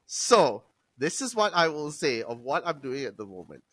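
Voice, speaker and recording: A young Malaysian man with a Malaysian English accent, chatting casually on a podcast as an animated, profane storyteller who mimics customers. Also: medium pitch, moderate pace, no room sound, low-quality recording. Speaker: podcast guest, former bartender and film reviewer.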